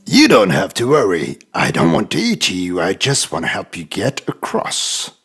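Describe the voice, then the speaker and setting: Man playing a shifty, creaky-voiced storybook fox. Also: low-pitched, wildly swooping pitch, normal speed, fairly bright tone, exaggerated voice. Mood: sly coaxing. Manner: smooth-talking, reassuring persuasion. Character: shifty, coaxing male fox. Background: music.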